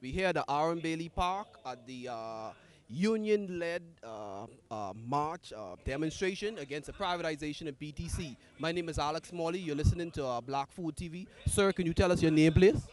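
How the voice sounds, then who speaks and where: Halting male interviewer at an outdoor rally. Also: on the high side, lively intonation. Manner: hesitant, many filler uhs, polite and formal. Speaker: interviewer and host. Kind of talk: on-the-street interview. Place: outdoor park at a demonstration.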